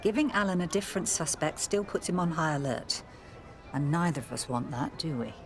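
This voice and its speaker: A woman's voice: deep voice, expressive, a little fast. An actress playing a guarded middle-aged village pub landlady with a British accent in a radio soap. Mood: anxious, firm. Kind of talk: naturalistic radio soap acting.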